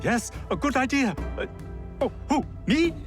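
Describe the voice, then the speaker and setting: A panicky, cowardly husband in a historical game cutscene. His high voice swings widely as he stammers and whines, flustered and excitable, over prominent music. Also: measured pace. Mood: nervous, resigned. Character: panicky, cowardly, hapless husband fleeing trouble. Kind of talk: video game cutscene dramatic acting. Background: background music.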